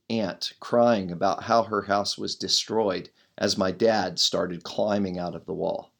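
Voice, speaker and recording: A middle-aged man with a loud voice, a pastor reading his own devotional blog aloud in an earnest way, leaning hard on key words. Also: fairly low pitch.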